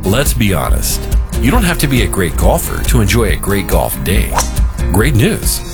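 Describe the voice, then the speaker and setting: A man's voice: fairly low pitch, wildly swooping pitch, moderate pace. Bouncy male announcer over music. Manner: bouncy, salesy. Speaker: radio ad announcer. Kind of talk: upbeat scripted ad read.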